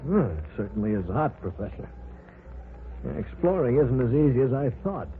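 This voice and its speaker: A man's voice: mid-range voice, very animated, normal speed, close to an everyday voice. An actor playing an eager, curious young man on his first expedition, in a 1940s radio drama. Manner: eager, inquisitive. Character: young male student explorer, eager and curious. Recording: tinny old radio broadcast with faint hiss.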